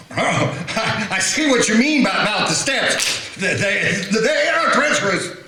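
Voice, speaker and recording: A man playing a superstitious frontier deputy in a radio play, with a slow folksy drawl and country sayings. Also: high-pitched, expressive, background noise. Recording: very echoey room.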